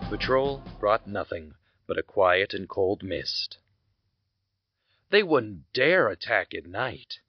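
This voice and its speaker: An actor playing a grimly determined young soldier out for vengeance, narrating an audio drama in a solemn, brooding, deliberate way. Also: male voice, lowish voice, very animated, breathy edge, no echo, exaggerated voice. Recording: slightly muffled, under loud music. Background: loud music.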